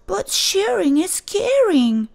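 Woman voicing an excitable high-pitched boy shark. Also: takes it slowly, creaky, heavily acted. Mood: eager, puzzled. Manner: exclaiming, dramatic delivery. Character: boisterous young boy shark, eager and easily confused. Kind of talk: children's storybook character voice.

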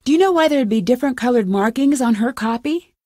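Young female detective, video game dialogue, slightly high pitch, earnest, determined, questioning, dry recording. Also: expressive, fast, mellow, dry recording. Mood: probing, insistent. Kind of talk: scripted game dialogue, isolated lines.

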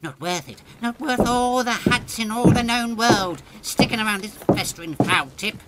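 A man's voice: extremely high pitch, moderate pace, heavy background noise. A British man playing a cunning, pompous medieval lord in a comedy audio drama, sneering and exasperated. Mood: gloating, contemptuous.

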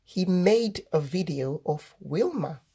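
A woman reading a children's storybook aloud, deliberately, word by word, as the host of a read-aloud. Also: on the low side, lively intonation, warm tone, a little hiss or hum. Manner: deliberate, word-by-word delivery for early readers.

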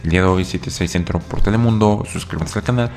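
A man's voice: deep voice, fast, darkish tone, faint music behind. A man hosting a casual video commentary on a reality show, rambling with many fillers and asides.

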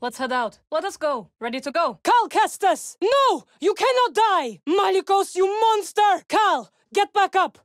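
A young witch warrior for a video game. Her voice is high, and she delivers short battle lines with proud, formal command and a dry edge of loyalty to her allies. The sound is close and dry, with no room around it. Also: animated, measured pace, few pauses, no echo. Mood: desperate, urgent. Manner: formal, clipped, commanding phrasing.

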